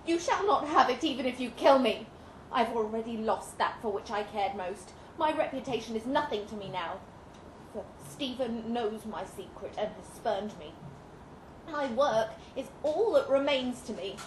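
A woman's voice: a little high, expressive, normal speed, pitched above the performer's own voice, lightly acted. Woman acting a defiant, melodramatic captive Victorian heroine, echoing room. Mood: fierce defiance. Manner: impassioned, melodramatic, formal old-fashioned diction. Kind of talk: melodramatic live story reading. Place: indoor live literary event. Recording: roomy, noisy live venue recording.

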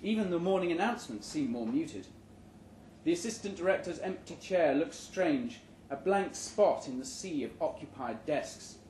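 Deadpan man reading with animated pitch, roomy venue. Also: normal speed. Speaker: reader of a short story at a live event. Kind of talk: live dramatic short story reading. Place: indoor room at a live literary event. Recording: roomy live venue recording with background noise.

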